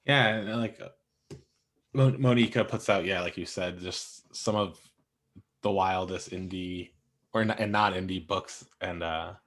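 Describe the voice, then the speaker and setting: A man with a somewhat low voice hosts a literary panel. He moderates in a casual, conversational way. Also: unhurried, fairly bright tone. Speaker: host and moderator.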